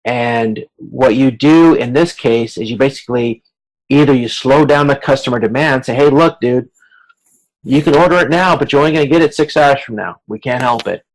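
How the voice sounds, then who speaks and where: A man teaches a class over a video conference in an informal, conversational style. He explains in plain colloquial terms, slipping into quoted hypothetical remarks to illustrate. His voice sits at an ordinary male pitch. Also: normal speed, low-quality recording. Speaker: lecturer in supply chain management.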